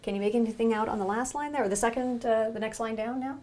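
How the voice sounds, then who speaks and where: A woman's voice: fairly high pitch, fairly even intonation, quick pace, darkish tone. Woman clinician teaching an eye exam. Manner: encouraging with the patient. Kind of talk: instructional demonstration with a patient.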